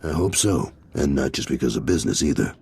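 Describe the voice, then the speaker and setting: A man playing a gruff, world-weary, middle-aged gangster boss in a video game, frank and businesslike. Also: a bass voice, lively intonation, fast, darkish tone, exaggerated voice. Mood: worried, wry.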